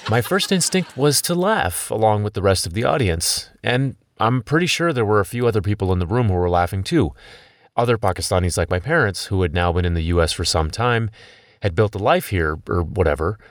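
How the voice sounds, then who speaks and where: Deep-voiced male podcast narrator. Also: expressive, no echo, studio quality. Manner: personal, measured storytelling. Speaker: podcast host. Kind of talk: scripted documentary narration.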